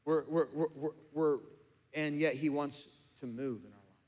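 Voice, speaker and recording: A middle-aged man preaching a sermon as pastor, his voice slightly hoarse, moving from calm teaching to fervent, emphatic preaching. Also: a little high, normal speed. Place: church sanctuary with congregation, also streamed online. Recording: long hall reverb.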